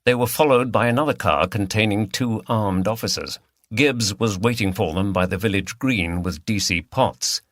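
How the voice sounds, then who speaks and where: A man's voice: fairly low pitch, moderate pace, no room sound, clean studio sound. A man reading a mystery novel aloud as a scripted audiobook narration.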